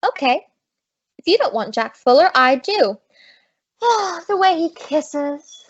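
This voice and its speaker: A woman's voice: high voice, very animated, takes it slowly, highs cut off, full character voice. A woman playing a brassy, big-hearted, wisecracking working woman in an old-time radio comedy. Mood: teasing.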